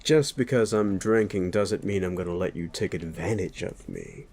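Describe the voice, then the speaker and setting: A man playing a sleazy, bullying werewolf boss in an audio roleplay, sardonic and taunting, with a gruff flirtatious streak. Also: deep voice, moderate pace, darkish tone. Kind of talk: scripted audio roleplay addressed to the listener.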